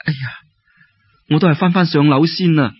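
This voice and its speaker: A man voicing a timid, gentle young maiden with a Cantonese accent, hesitant and polite, in a told folk tale. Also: on the high side, normal speed, breathy edge, dry recording. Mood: wistful, anxious. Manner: hesitant, polite, soft-hearted. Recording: dull lo-fi radio-like recording.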